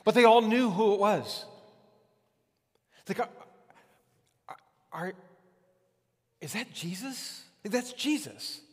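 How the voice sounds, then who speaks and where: High-pitched male preacher in a reverberant hall. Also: slow, long pauses. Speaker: pastor. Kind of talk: sermon, conversational preaching. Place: large hard-walled church hall, on stage.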